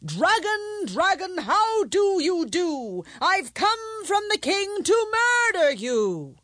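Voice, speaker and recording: Woman as a deliberate wise old cobbler father. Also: on the low side, wildly swooping pitch, mellow, slightly rough, no echo, clean studio sound, heavily acted. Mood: solemn, instructive. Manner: declaiming, slow and firm.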